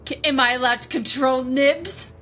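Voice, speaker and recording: A woman's voice: sits high, normal speed, sharp tone. A woman chatting casually and reacting as a player in a tabletop roleplaying game.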